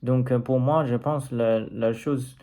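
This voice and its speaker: A man from China, a native Mandarin speaker and language learner, talking unscripted in a personal vlog with a Chinese accent, halting as he searches for words. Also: medium pitch, fairly even intonation, warm tone.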